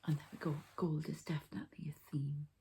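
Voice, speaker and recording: A woman softly whispering an ASMR healing ritual, breathy and halting, as if thinking aloud. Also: low voice, flat delivery, slight background noise. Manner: unhurried, halting, thinking aloud while handling objects. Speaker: host, energy healer and card reader.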